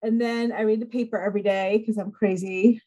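A woman's voice: mid-range voice, fairly even intonation, a little fast, dark tone, rough recording. A woman hosting a podcast, chatting in a bubbly, self-deprecating way and laughing often. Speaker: podcast host. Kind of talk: casual interview chat.

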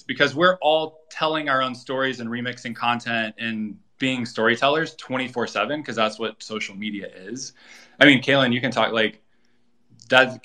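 Male interview guest, bright tone, conversational pitch style, explanatory with casual tags. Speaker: guest, project founder.

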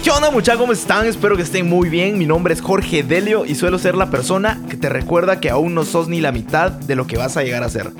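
A man's voice: high-pitched, animated, brisk, few pauses, over loud music. A man in his twenties with a Guatemalan accent, hosting a solo motivational podcast in an earnest, upbeat way with friendly tag questions. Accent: Guatemalan Spanish. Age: 20s. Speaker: podcast host.

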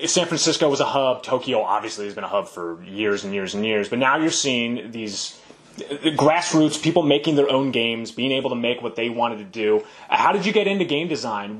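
A man hosting a podcast interview, asking long, winding questions in a casual, conversational way. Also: medium pitch. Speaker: podcast host. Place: Los Angeles.

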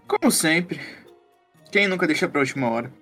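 Guy doing a wry careful detective, kinda high voice, dry and casual, tabletop game w music. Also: lively intonation, moderate pace, sharp tone. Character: Jack, male detective, cautious father, wry, loyal. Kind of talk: in-character tabletop roleplay.

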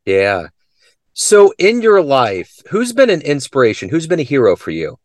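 Male host, lively pitch, curious and upbeat, dry close sound. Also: moderate pace, no echo. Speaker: interview host. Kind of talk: conversational interview.

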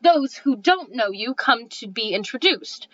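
Female reader as haughty, severe gentleman suitor, formal and declamatory, bright tone, lo-fi. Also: on the high side, animated, unhurried, no room sound. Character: proud, severe, self-important male suitor. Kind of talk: cold-read comedic stage play. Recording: dull, dry lo-fi sound.

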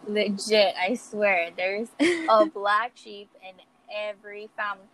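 A young woman from Hawaii co-hosting a podcast, talking loosely with lots of fillers and easy laughter. Her voice sits at an ordinary pitch. A faint hiss of noise runs underneath. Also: level tone, unhurried, fairly bright tone, poor audio. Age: 20s. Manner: chatty, laughs often, filler words. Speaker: podcast co-host. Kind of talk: casual chat.

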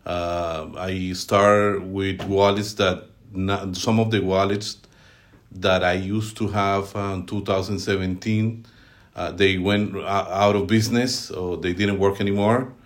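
A middle-aged Colombian man with a Colombian Spanish accent and a very low voice, answering podcast questions. He talks haltingly, filling gaps with "uh" and restarting his sentences. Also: steady tone. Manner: halting, many fillers and restarts. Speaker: guest, team leader. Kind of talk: podcast interview.

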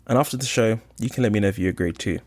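A man hosting a podcast, reading a lively intro and then interviewing a guest in a friendly, eager way. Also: lowish voice, racing. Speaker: podcast host.

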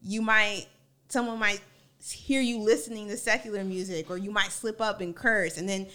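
A woman's voice: mid-range voice, fairly even intonation, moderate pace, bright, forceful tone. A woman co-hosting a casual conversation about faith. She speaks reflectively and builds her points by listing one item after another. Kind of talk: casual discussion.

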